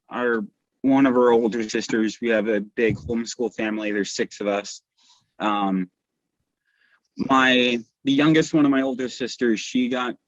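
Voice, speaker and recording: A man's voice: medium pitch, dry recording. A young man chatting casually and telling personal stories as a guest, heard over a muffled internet call. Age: young adult. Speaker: podcast guest. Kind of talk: casual chat, personal storytelling. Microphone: video call.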